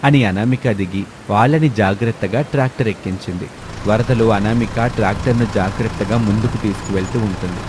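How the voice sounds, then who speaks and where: A man's voice: lowish voice, fairly quick. A man narrating a scripted moral story as the storyteller. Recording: clear rain and engine noise behind.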